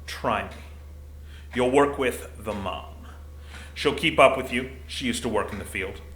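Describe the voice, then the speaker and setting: A man playing a gruff, middle-aged, desk-bound security commander in an audio drama, blunt and dryly bureaucratic. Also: mid-range voice, unhurried, fairly bright tone, natural voice. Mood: stern. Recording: roomy echo, faint noise.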